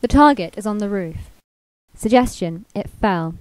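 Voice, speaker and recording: A woman playing a sardonic artificial intelligence in an amateur radio drama, speaking formally and deadpan. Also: medium pitch, a little slow, dry recording, lightly acted. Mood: coolly matter-of-fact. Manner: formal, deadpan announcements with dry sarcasm. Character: sardonic female machine intelligence with a programmed personality. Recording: clearly noisy homemade recording with clicks.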